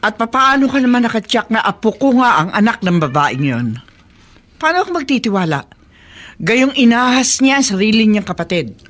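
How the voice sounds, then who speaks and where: A suspicious older mother in a radio play, probing with pointed questions, voiced by a woman. Her somewhat high voice rises and falls in lively swings. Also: normal speed. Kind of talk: radio drama acting.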